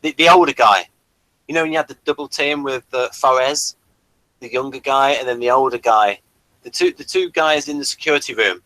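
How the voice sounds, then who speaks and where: A man hosting a casual review chat, talking in a halting way and repeating words as he goes. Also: mid-range voice, pushed and bright, no echo, low-quality recording. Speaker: host of a TV review show.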